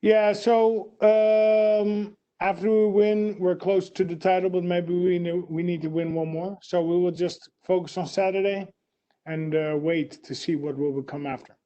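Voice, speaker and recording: A man's voice: high voice, level tone, a little slow, mellow, dull audio. A man with a Dutch accent, a fighter answering press questions in short, plain answers full of fillers. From Netherlands.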